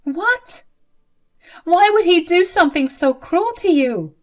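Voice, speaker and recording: A woman's voice: on the high side, expressive, measured pace, fairly bright tone. A woman voicing a young bride who is protective and indignant, speaking emphatically. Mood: worried, outraged. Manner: emphatic and outraged.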